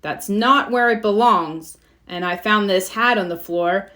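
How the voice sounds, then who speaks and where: A woman's voice: mid-range voice, a little slow, pushed and bright. A woman voicing a stern, annoyed father turtle in a children's storybook.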